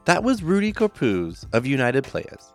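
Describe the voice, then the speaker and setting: Gravelly-voiced American man hosting a podcast. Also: mid-range voice, wildly swooping pitch, measured pace, faint music behind. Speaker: podcast host.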